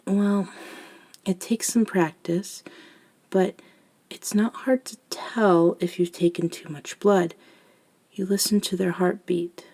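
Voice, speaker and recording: A woman voicing a gentle elder vampire in an ASMR roleplay, speaking softly and breathily, often near a whisper, soothing and reassuring. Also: lowish voice. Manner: slow, soothing, reassuring, with long pauses. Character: gentle elder female vampire mentoring a nervous young one. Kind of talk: soft-spoken ASMR roleplay monologue.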